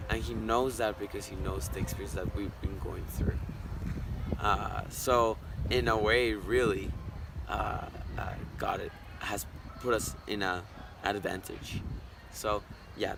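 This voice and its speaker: A man's voice: fairly low pitch, steady tone, unhurried, vocal fry, background noise. An earnest, reflective eighteen-year-old man from Costa Rica, sharing his story and thinking aloud as he gives a word of encouragement. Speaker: interviewee. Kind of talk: personal testimony, word of encouragement. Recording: loud background music. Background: loud music.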